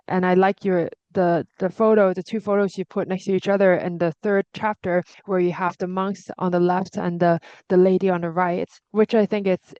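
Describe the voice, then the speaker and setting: A woman's voice: medium pitch, darkish tone, no room sound. A woman whose first language is Cantonese, hosting a discussion and sharing her reflections thoughtfully, with frequent restarts. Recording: dull lo-fi sound.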